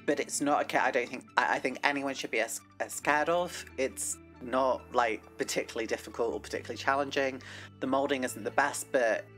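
A woman's voice: very deep voice, fairly quick, a bit bright, faint music behind. A woman hosting a casual scale-model vlog, chatty and self-deprecating with lots of fillers. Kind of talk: casual hobby vlog with read history segment.

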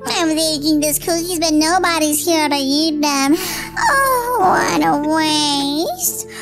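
A woman voicing a sweet, doting, lonely old grandmother in an animated roleplay story, cooing and drawing out her exclamations. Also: high voice, slow, music in the background. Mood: fond, then sad.

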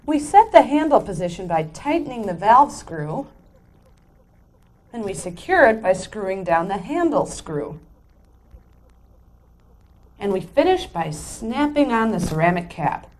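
A woman's voice: mid-range voice, big pitch swings, a little slow, pauses often. A woman on a renovation crew explaining each installation step in a friendly way while she works. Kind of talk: hands-on how-to instruction.

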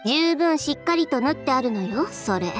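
High-voiced, self-assured designer. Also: female voice, lively intonation, moderate pace, faint music behind. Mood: businesslike, frank. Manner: matter-of-fact, decisive, frank. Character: confident, brisk young woman fashion designer with dry frankness. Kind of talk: Japanese anime game voice acting.

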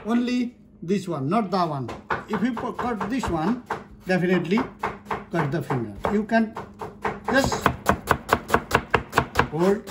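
A middle-aged male chef talks in halting, broken English, a few words at a time as he works. He is recorded on a phone in a busy restaurant kitchen. Loud chopping and clatter sit right over his voice. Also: high-pitched, lively intonation, very noisy. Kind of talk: casual explanation while demonstrating. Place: commercial restaurant kitchen, indoors.

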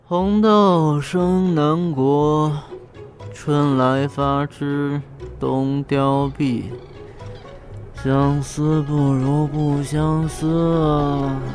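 A dreamy young Taoist monk, fairly high in pitch. He sounds earnest, gentle and a little whimsical, with faint music behind him in a wuxia radio play. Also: flat delivery, very slow. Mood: wistful. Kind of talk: Chinese wuxia audio drama acting.

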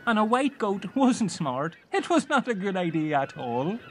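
A man's voice: sits high, very animated, moderate pace, a little hiss or hum. A man playing a dim, petulant, foul-mouthed castaway in a silly comedy sketch. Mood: sheepish, rambling. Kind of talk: scripted comedy audio sketch.

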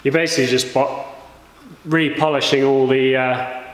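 A man in his thirties casually showing a how-to in his workshop, relaxed and full of fillers. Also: mid-range voice, normal speed. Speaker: welding fabricator, channel host. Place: workshop with bare walls, indoors. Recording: echoey workshop, some noise.

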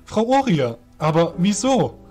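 Stern, courtly male fairy guard played by a man, animated intonation, fantasy audio drama. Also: high voice, a little slow, faint music behind. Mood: startled, apologetic. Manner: formal, archaic courtly address.

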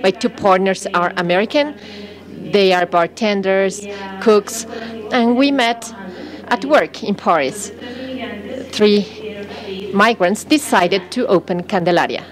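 A woman's voice: mid-range voice, animated, slow, long pauses, crowd noise. A woman working as a simultaneous interpreter at a conference, delivering a talk in short, piecemeal phrases.

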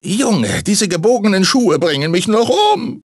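A man's voice: high voice, lively intonation, measured pace, breathy edge, no room sound, studio quality, exaggerated voice. A man voicing a manic, grumpy talking fruit mascot in a cartoon video game, theatrical, swinging between sugary salesman and irritable grumbler. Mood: grumbling. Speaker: video game character actor.

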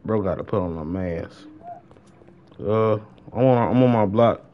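A man's voice: fairly low pitch, a little slow, highs cut off, slight background noise. A young adult man chatting casually on a gaming livestream in slangy, informal speech with plenty of swearing. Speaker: game livestreamer.

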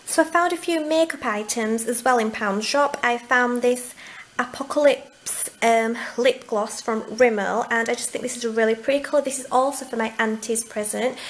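Gushing young woman, bright pitch. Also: a little hiss or hum, low-quality recording. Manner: gushing, enthusiastic about cute items. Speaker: vlogger. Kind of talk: casual haul video. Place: home, indoors at a table.

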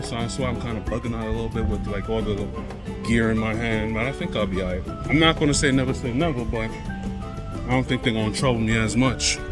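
An American man talking casually in a chatty, colloquial way as he films a walking travel vlog outdoors. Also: mid-range voice. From United States. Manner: laid-back, colloquial, chatty. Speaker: travel vlogger. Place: outdoors on town streets.

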